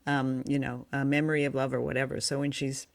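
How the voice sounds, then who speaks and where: A woman's voice: a bass voice, little pitch movement, brisk, gravelly. A woman co-hosting a show, talking in a chatty, reflective way about old entertainment in a casual discussion. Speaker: podcast co-host. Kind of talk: casual film and radio discussion.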